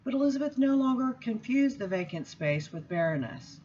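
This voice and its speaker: A woman reads from a book aloud in an expressive style, with measured, deliberate phrasing and emphatic pauses. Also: a little high, highs cut off, slight background noise. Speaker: seminary student reading aloud. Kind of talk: expressive book reading with spoken introduction.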